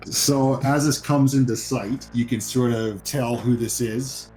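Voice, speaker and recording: A man's voice: mid-range voice, steady tone, a little slow, a little mellow, soft background music, poor audio. A man as game master, improvising narration and explaining rules in a conversational way for a horror tabletop game.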